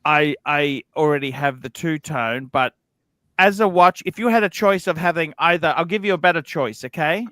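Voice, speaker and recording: A man hosting a casual livestream chat about what he would rather own. He talks bluntly, swears freely and keeps checking in with "okay?". His voice sits high and sounds smooth and resonant, recorded close with no room sound. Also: moderate pace, pushed and bright, dry recording. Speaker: livestream host.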